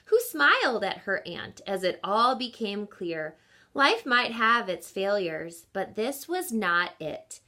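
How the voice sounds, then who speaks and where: A woman teacher reading a picture book aloud to children in an expressive, instructive way, with deliberate emphasis. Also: mid-range voice. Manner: teacherly, asks listeners questions, deliberate emphasis.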